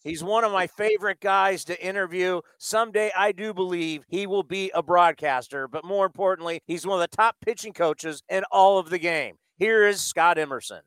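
Chatty guy broadcaster in a press box, high smooth voice. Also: normal speed, hardly any pauses, fairly bright tone, no room sound. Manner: chatty, enthusiastic. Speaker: radio broadcaster. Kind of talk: broadcast interview.

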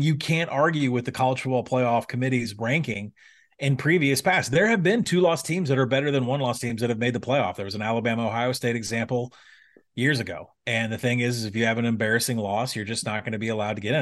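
A man's voice: mid-range voice, a bit bright. Man breaking down football matchups. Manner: fast, analytical football breakdown with fillers. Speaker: sports betting podcast co-host. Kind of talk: casual betting analysis chat.

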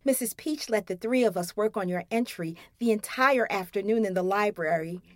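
A woman's voice: mid-range voice, moderate pace, no room sound, lightly acted. A woman reading a storybook, voicing a wry, sassy teenage girl with a dry, teasing way of talking. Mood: earnest.

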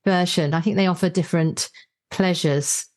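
A woman's voice: on the low side, fairly even intonation, normal speed, a little mellow. Woman hosting a casual book discussion. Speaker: podcast host.

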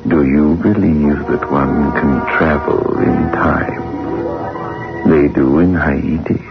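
An actor playing a sinister, drily amused horror show host in a 1960s radio drama, speaking with ominous mock courtesy. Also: male voice, extremely low pitch, slow pace, heavily acted. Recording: muffled old radio recording with music.

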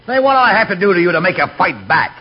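A man playing a loud, menacing young local bully in 1940s radio comedy. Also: sits high, big pitch swings, racing, faint music behind. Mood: bullying, taunting. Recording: muffled, noisy 1940s radio recording.

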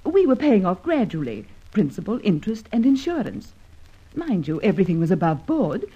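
A woman's voice: medium pitch, very animated, normal speed, mellow, breathy edge. A woman playing a young farmer's daughter in an old radio drama, earnest and proper, troubled but composed. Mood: earnest, resigned. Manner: proper, earnest, well-spoken. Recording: dull old radio recording.

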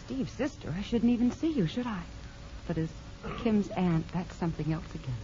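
A woman's voice: mid-range voice, animated, normal speed, dark tone, slight background noise, natural voice. An American woman playing a sympathetic, candid married aunt, speaking expressively and confidingly in 1950s radio drama style. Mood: guarded welcome. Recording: muffled old radio broadcast.

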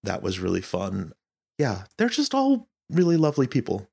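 A man's voice: on the low side, huge pitch swings, normal speed, vocal fry, a little mellow, slightly rough. A man being interviewed as a director, talking casually with hesitant, searching phrasing.

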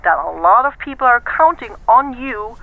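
An actress playing a brisk, persuasive corporate executive in an audio drama, firm and businesslike, heard through a telephone filter. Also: female voice, fairly high pitch, expressive, dry recording. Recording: dry, narrow-band phone line.

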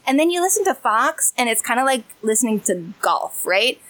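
Woman podcast co-host, playful, chatty, a little high voice. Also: big pitch swings, moderate pace, fairly bright tone, no room sound. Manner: playful, chatty, laughs often. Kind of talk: casual interview chat.

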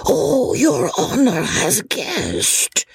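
A man voicing a cunning, greedy old male peddler in an audiobook, humble and deferential in his deliberate speech. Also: a little high, very animated, creaky, husky, pitched above the performer's own voice, heavily acted. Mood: obsequious eagerness. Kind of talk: audiobook character voice.

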